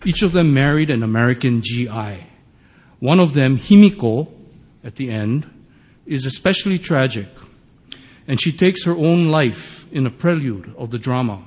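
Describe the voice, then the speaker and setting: A man's voice: medium pitch, animated, normal speed, a little mellow, big-room sound. Man reading a formal play introduction. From Hawaii. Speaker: professor presenting a play.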